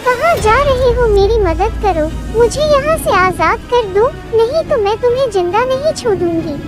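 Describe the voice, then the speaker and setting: A woman's voice: lively intonation, normal speed. A woman voicing a trapped young female bird spirit who pleads to be set free. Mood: threatening. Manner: shrill and pleading. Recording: music underneath.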